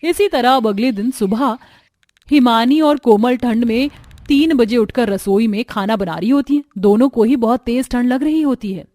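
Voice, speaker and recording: Woman, high-pitched voice, scripted storytelling, cartoon narrator. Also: moderate pace, mellow, no room sound, clean studio sound.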